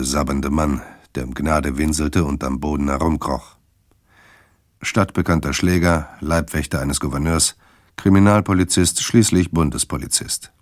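A man's voice: rumbling low, no room sound, clean studio sound. A man narrating a scripted German audio drama in a terse, sober storytelling manner.

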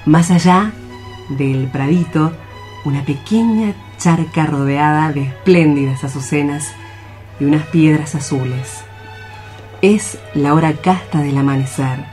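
A narrator reading scripted literary stage descriptions for radio theatre in a measured, declamatory way. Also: medium pitch, a little mellow. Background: music.

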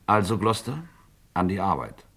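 An actor playing a cool, commanding, politely sinister schemer in an old German crime radio play, speaking formally and matter-of-factly. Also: male voice, low voice, sing-song, normal speed, fairly bright tone, slight background noise, full character voice. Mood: coolly macabre.

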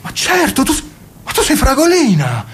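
An actor playing a smooth-talking young man, a coaxing charmer in a radio play. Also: very high-pitched, huge pitch swings, moderate pace, fairly bright tone, full character voice. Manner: coaxing, wheedling, with sudden laughs.

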